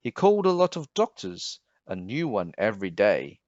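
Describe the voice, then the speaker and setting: A man reading a children's story aloud in an expressive, emphatic, measured way. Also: on the low side, dry recording. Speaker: storybook reader. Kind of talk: children's read-aloud narration. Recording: dull, slightly muffled sound.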